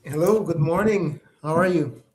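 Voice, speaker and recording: A middle-aged man with a Jamaican accent, a guest on a podcast, answering in a friendly, measured way with frequent fillers. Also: a little high, a little mellow. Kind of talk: casual interview answers. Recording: echoey room.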